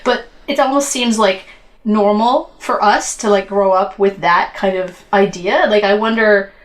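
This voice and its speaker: Woman chatting casually, medium pitch. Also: a little slow. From Canada. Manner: informal, giggly, self-joking. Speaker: podcast co-host. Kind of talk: casual conversational review chat.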